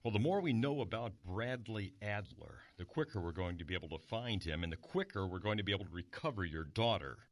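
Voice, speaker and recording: A man's voice: fairly low pitch, lively intonation, brisk, few pauses, dry recording, close to an everyday voice. A man playing a formal, insistent police detective in an audio drama, procedural and pointed. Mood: measured. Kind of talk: modern audio drama acting.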